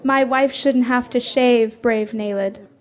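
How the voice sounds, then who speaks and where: Woman doing a proud young warrior girl, bit high voiced, blunt and defiant, fantasy audio drama. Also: fairly even intonation, slow. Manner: bold, boastful, declarative. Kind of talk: fantasy radio drama acting.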